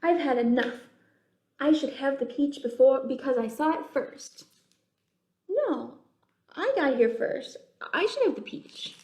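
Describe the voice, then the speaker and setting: A woman voicing excitable, childlike little bugs from a picture book, exclaiming dramatically. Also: high voice, unhurried, long pauses. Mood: indignant. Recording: roomy, echoey indoor sound.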